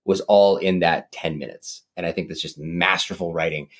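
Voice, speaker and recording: A very deep-voiced man holds forth on a horror film in relaxed podcast chat. He rambles, doubles back on himself, and fills his sentences with "like" and "um.". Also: moderate pace. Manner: animated, rambling, mimics voices with big pitch jumps. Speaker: podcast co-host. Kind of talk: casual movie discussion.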